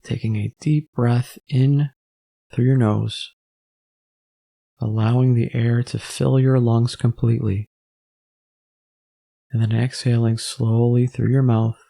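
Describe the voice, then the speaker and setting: A man narrating a guided meditation as the instructor, gently and in measured phrases with pauses. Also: medium pitch, steady tone, creaky.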